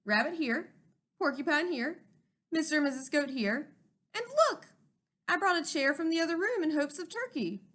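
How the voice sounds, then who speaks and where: A sweet moose wife from a children's storybook, played by a woman reader, with a high and very lively voice. She sounds gracious and gushing, every bit the hostess. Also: moderate pace. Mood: delighted.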